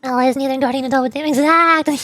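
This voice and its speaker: A woman's voice: high-pitched, steady tone, fast, a bit bright, a little hiss or hum. A woman streaming a video game and chatting casually through gameplay commentary. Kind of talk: casual gaming livestream commentary.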